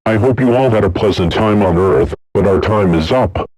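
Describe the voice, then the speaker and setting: A man playing a bleak, resigned announcer of a final doomsday broadcast, with a husky, rough voice. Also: on the low side, normal speed, background noise, lightly acted.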